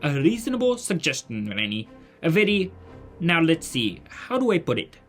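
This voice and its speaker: A man's voice: fairly high pitch, sing-song, moderate pace, faint music behind. A man playing a polite, modest genius mentor in a family audio drama, with formal, hesitant phrasing. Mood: gentle, measured.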